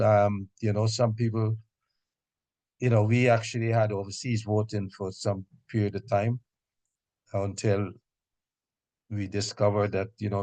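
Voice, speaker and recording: A man chairing a political meeting, speaking off the cuff in a halting, measured way. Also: on the low side, creaky, mellow. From Guyana. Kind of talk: extemporaneous political meeting remarks.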